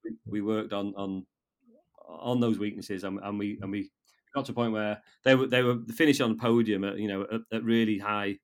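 A man in his fifties from North Wales with a North Welsh accent, a guest in a casual interview who talks haltingly, repeating words and restarting his sentences. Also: lowish voice, fairly even intonation.

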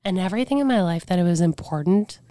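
This is a woman sharing personal stories on a podcast with a somewhat low, fragile voice that can't push past a certain loudness. She speaks earnestly and reflectively, leaning on casual fillers. The sound is dry and close, with no room around it. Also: lively intonation, fast talker, no room sound. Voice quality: weakened voice that cannot get loud. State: voice drops to a whisper at times. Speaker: podcast guest. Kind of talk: candid personal storytelling.

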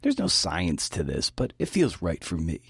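Male podcast host, low pitch, dry close sound, informal talk delivery with casual swearing. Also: huge pitch swings, moderate pace, gravelly, breathy edge, no echo. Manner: informal, mildly profane. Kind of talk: prepared talk to fellow writers.

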